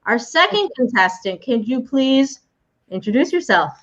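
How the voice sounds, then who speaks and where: A woman's voice: a little high, sing-song, moderate pace, a bit bright. A woman hosting a live online game show in an upbeat, friendly way, over a video call. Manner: upbeat, friendly, laughs easily. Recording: muffled lo-fi call audio.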